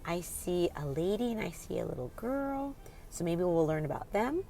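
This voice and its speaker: A woman's voice: fairly low pitch, very animated, normal speed, dark tone. A woman hosting a children's read-aloud, reading expressively and putting questions directly to young listeners in an instructive way.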